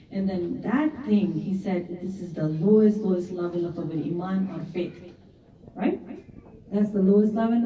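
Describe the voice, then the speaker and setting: A woman's voice: medium pitch, warm tone. Earnest middle-aged woman speaking in a hall. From Canada resident. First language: Urdu. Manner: earnest, often adds "right?". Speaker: community activist speaker. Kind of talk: speech to a women's gathering. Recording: echoey hall, voice from far off.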